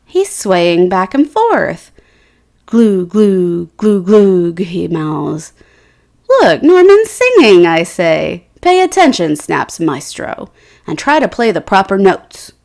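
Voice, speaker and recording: A woman reading a children's picture book aloud, slow and expressive, giving the characters' quotes their own lift. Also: mid-range voice, gravelly.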